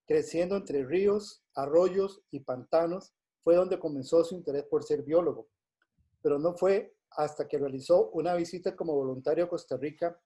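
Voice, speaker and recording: A man hosting a webinar, reading a formal introduction of the guest in a measured way with occasional hesitations. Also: on the high side, warm tone, husky. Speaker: event host.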